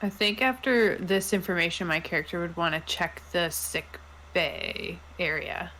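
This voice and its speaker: A woman's voice: lowish voice, lively intonation, normal speed, sharp tone, a little hiss or hum, low-quality recording. Casual woman playing a tabletop game. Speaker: roleplaying game player.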